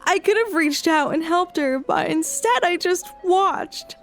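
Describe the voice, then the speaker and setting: A woman playing a traumatized young survivor who broods and blames herself in an audio drama monologue. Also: very high-pitched. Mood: anguished, numb. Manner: brooding, slow inner monologue. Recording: music behind the voice.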